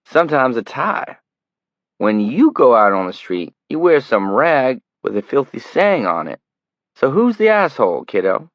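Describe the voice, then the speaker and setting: A man's voice: fairly low pitch, expressive, moderate pace, dry recording, lo-fi sound, voice pushed up. A man playing a cruel, menacing middle-aged man who taunts and sneers. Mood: taunting menace.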